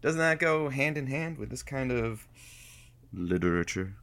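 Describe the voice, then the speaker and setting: A man's voice: mid-range voice, expressive, measured pace, a bit bright, no room sound. A man playing a teasing, wry radio producer and sidekick, bantering casually in an audio drama.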